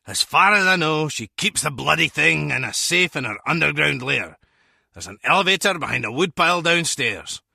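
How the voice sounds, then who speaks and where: An actor playing a burly, proud Scottish villain in a video game, blustering and teasing in a gravelly, raspy voice. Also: male voice, a little high, lively intonation, moderate pace, sharp tone, dry recording, clean studio sound, full character voice. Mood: exasperated. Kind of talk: video game cutscene acting.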